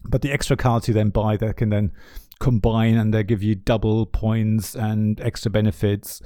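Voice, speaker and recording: A man whose first language is German, chatting casually about board games as a podcast co-host, with a relaxed, rambling delivery full of restarts. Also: on the low side, normal speed, smooth, no room sound, clean studio sound. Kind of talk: casual board game chat.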